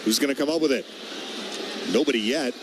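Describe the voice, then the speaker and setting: A man's voice: medium pitch, brisk. A man doing live radio hockey play-by-play in clipped, short phrases that name players as the action moves. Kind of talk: live play-by-play sports commentary. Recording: noisy arena ambience behind the voice.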